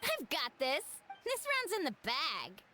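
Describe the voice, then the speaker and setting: High-pitched plucky young girl. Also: huge pitch swings, unhurried, sharp tone, heavily acted. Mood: determined. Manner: eager, childlike. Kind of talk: video game voice acting. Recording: game music behind.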